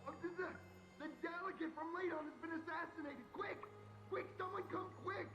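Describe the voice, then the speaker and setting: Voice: very high-pitched, steady tone, normal speed, roomy sound, over music. An actor voicing a panicked palace attendant in a video game, stammering and calling out in alarm. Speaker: game character.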